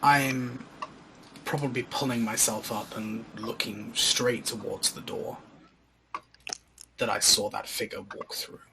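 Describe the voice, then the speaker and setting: Creaky, even-toned man, bright voice. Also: on the low side, slow pace, pauses often, a little hiss or hum, low-quality recording. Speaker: tabletop game player. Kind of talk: describing his character's actions in first person.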